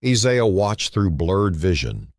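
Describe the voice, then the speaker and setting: A man narrating a scripted dramatic story in a solemn manner, in short phrases with pauses. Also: sits low, big pitch swings, darkish tone, no echo, studio quality.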